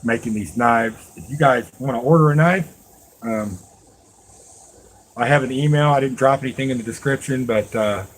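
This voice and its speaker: A man's voice: mid-range voice, moderate pace, low-quality recording. A middle-aged man chatting casually and folksily to live stream viewers as he works with his hands, rambling along as he talks to them directly. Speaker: live streamer and knife maker. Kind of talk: casual live stream chat while working.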